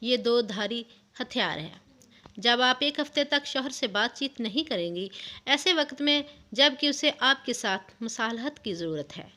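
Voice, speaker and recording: A woman voicing a wise, patient elderly wife who gives calm, assured advice in a narrated story. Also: on the high side. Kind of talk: storybook narration of interview answers. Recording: music under the voice.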